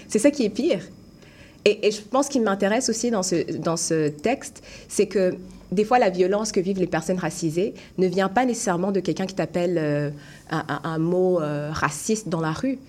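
A woman's voice: on the low side, normal speed, a little mellow. A young woman, an actress and playwright, speaking French without a Québécois accent, talking thoughtfully in an interview with frequent restarts and fillers. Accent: non-Québécois French. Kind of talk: casual interview chat.

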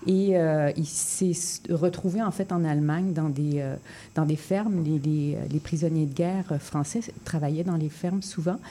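Low mellow-voiced woman recounting family history. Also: steady tone, normal speed. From Quebec. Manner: hesitant, many fillers and restarts. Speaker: poet guest. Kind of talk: radio interview. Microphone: studio microphone.

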